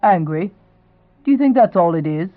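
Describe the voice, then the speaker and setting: A woman voicing an older father who sounds gruff and weary. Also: fairly low pitch, lively intonation, moderate pace, dry recording, natural voice. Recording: tinny, phone-like sound.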